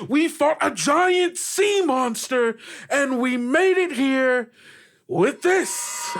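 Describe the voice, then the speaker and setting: Man playing a rousing ship captain, pitched up. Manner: declamatory, building speech to a crowd. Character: rousing ship captain rallying a weary crew. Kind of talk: tabletop roleplay speech.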